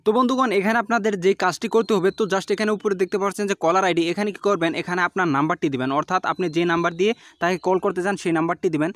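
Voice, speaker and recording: A man's voice: high voice, very fast, hardly any pauses, fairly bright tone, no room sound. A man narrating an app tutorial as host, explaining step by step in an instructional, repetitive way.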